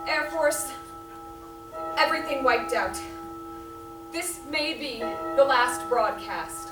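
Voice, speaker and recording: Woman as horrified radio reporter, 1930s radio drama, declamatory, very high pitch, echoey room, music behind. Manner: declamatory, clipped eyewitness bulletins. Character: doomed radio reporter watching the invasion, increasingly horrified. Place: live theatre stage. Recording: echoey stage, distant microphone.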